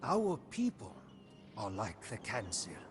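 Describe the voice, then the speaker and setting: An actor playing a proud, devoted commander of a royal guard, narrating a game's storybook in a solemn, deliberate manner. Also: male voice, on the low side, huge pitch swings, full character voice. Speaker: narrator of a game campaign story. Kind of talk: scripted storybook narration in character. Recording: music behind the voice.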